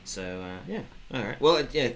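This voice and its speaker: Guy in his 40s going thru tech news on a video call, mid pitch, casual n a bit halting. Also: very animated, fairly bright tone, slight background noise. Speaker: co-host of a tech news show. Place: home office, video call.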